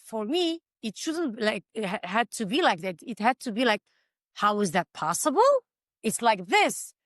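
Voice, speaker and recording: A woman's voice: a little high, big pitch swings, normal speed, no echo. Armenian-accented woman, earnest, acts out lines while she explains, dry close sound. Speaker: author. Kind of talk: panel discussion.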